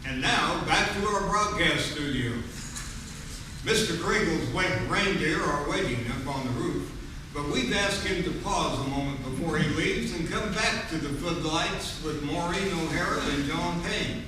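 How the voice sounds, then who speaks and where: A man's voice: fairly high pitch, a little slow, lightly acted. Man playing an echoey old-time radio announcer. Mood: polished, enthusiastic. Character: smooth, enthusiastic 1940s radio show announcer. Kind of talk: 1940s radio announcing, scripted. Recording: distant, echoey, noisy hall.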